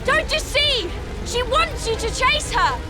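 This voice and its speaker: A woman playing a deranged, gleefully cruel witch in a video game, shrill and mocking with a singsong drawl. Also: animated, unhurried, over loud music, low-quality recording. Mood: gleeful taunting. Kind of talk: video game cutscene acting.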